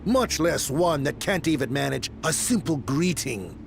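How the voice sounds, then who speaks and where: A man's voice: on the high side, animated, a little slow, creaky, soft background music. An actor playing a haughty, disdainful man in a video game, speaking in a pompous, formal, contemptuous way. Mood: cold disdain. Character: haughty, disdainful man, proud of his refinement.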